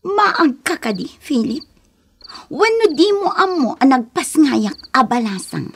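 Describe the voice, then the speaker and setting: A woman's voice: high-pitched, big pitch swings, slow, slight background noise, exaggerated voice. An actress with an Ilocano accent playing a troubled, bitter young unwed mother in a melodramatic radio drama style. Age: young adult. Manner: melodramatic, aggrieved.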